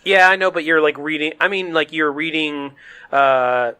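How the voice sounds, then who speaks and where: A man's voice: on the high side, fairly even intonation, moderate pace, sharp tone, clean tone. A man co-hosting a podcast, chatting casually and informally, often dropping "like" into his sentences. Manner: informal, peppers talk with "like". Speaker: podcast co-host. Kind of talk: casual chat about games and movies.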